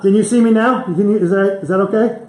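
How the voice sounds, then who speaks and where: The breezy, high-pitched man at the front of an echoing library meeting room, casually joking with the locals as he runs the evening's talk. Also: fast, darkish tone. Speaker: lecturer hosting a public talk. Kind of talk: casual, informal chat. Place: indoors, public library room. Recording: echoey room, faint noise.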